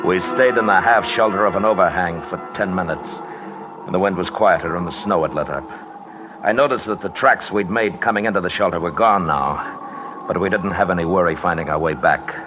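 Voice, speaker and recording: A man playing a calm, decisive expedition leader and narrator in an old-time radio adventure, measured and commanding. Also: low voice, lo-fi sound, music in the background, natural voice. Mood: grave narration. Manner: measured, matter-of-fact, commanding. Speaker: narrator and lead actor. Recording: noisy old radio broadcast recording.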